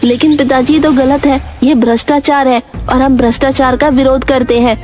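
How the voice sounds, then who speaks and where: A woman plays an idealistic young boy in a cartoon moral tale. The voice is very high and earnest, stubbornly defiant, with music underneath. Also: fairly even intonation, normal speed, darkish tone, lightly acted. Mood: defiant, righteous. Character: stubborn principled schoolboy, idealistic young son. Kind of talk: Hindi cartoon moral-story voice acting.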